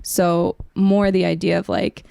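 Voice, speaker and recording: A woman's voice: lowish voice, level tone, measured pace, vocal fry, warm tone, no room sound, studio quality. A young woman hosting a podcast, talking through ideas in a casual, tentative and reflective way. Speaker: podcast host. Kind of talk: thoughtful discussion, casual.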